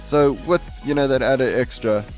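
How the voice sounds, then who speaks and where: A man hosting a gaming video with casual, rambling commentary, halting and correcting himself as he goes. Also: medium pitch, darkish tone, faint music behind. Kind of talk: casual game showcase commentary. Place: voice-over on game capture.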